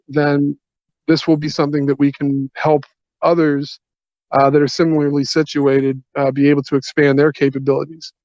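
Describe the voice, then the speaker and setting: A man explaining a business pitch on a conference call, halting and long-winded, in earnest phrasing. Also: darkish tone. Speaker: software company representative pitching a partnership. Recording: dull, echoey conference call audio.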